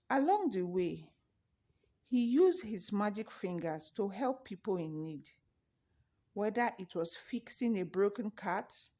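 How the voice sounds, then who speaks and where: A woman narrating a scripted children's folktale with measured, deliberate phrasing. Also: fairly low pitch, dark tone. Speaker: storyteller.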